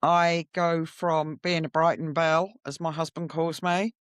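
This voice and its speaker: A woman's voice: low voice, steady tone, unhurried, clean tone, dry recording, clean studio sound. A middle-aged woman, telling her personal story candidly and reflectively as an interview guest.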